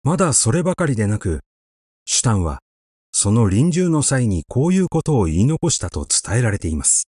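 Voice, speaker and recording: A man narrating a literary short story in a measured, expressive storytelling manner. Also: mid-range voice, slightly rough, no echo, studio quality. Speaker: audiobook narrator.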